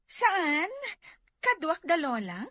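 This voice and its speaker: A scheming young rival in a radio-style drama, acted by a woman, with an Ilocano Filipino accent. Her very high, bright voice swings in pitch as she slowly coaxes and insinuates, sounding ingratiating. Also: very animated, no room sound, exaggerated voice. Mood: sweetly scheming. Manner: insinuating, coaxing.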